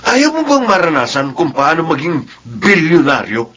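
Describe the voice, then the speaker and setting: Ambitious middle-aged husband, Filipino,: highish, lively, boastful drama delivery. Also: male voice, normal speed, breathy edge, exaggerated voice. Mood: eager, ambitious. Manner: boastful, eager.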